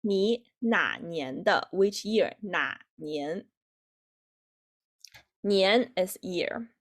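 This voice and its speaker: A woman in her twenties with a Chinese accent and native Chinese, teaching an online language lesson haltingly, repeating phrases for learners. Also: medium pitch, animated. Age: 20s. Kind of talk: online lesson, explaining with examples.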